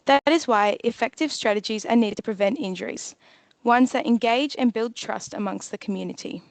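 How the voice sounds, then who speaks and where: A woman hosting a webinar who reads a prepared introduction in a measured way. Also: a little high, vocal fry, no echo. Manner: measured, reading a prepared text. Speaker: webinar host. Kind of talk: scripted introduction.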